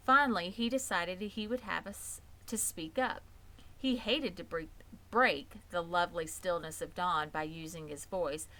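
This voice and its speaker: A woman's voice: lowish voice, fairly bright tone, rough recording. A woman reading scripted narration from a children's book as a storybook reader. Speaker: audiobook reader.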